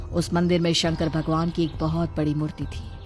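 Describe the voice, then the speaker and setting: Low-pitched woman narrating a cartoon story. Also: flat delivery, moderate pace, darkish tone, soft background music.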